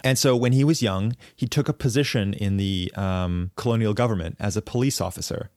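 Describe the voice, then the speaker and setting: A man with a fairly low voice talks through a long historical explanation on a casual podcast. He is thoughtful and halting, restarting phrases as he works out his thoughts. The sound is dry and close, with no room echo. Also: a little mellow. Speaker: podcast co-host.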